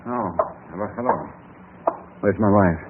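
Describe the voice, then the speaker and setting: An actor playing a grumbling, grudging husband in a radio drama, with a muttering, exclaiming manner. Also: male voice, on the low side, normal speed, narrow, tinny audio, close to an everyday voice. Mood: surprised, softening. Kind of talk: old-time radio drama acting. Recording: noisy, band-limited old radio recording.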